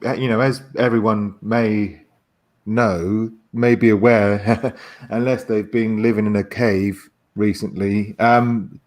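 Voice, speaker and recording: A man as a guest in a casual chat, explaining haltingly and stammering as he searches for words. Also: lowish voice, steady tone, darkish tone.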